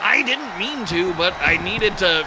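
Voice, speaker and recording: Lively, jokey wrestling commentator man. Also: high-pitched, unhurried. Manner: jokey, rambling with many fillers, bursts into excited calls. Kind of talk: comedic live commentary. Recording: loud crowd and noise behind.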